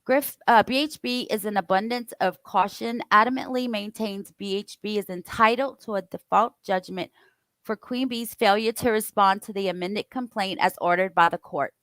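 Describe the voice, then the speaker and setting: A woman hosting a casual online show, reading court filings aloud in a steady, careful way with side comments. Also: mid-range voice, normal speed, no echo, rough recording. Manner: steady, careful reading with occasional stumbles and asides. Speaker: host reading court documents.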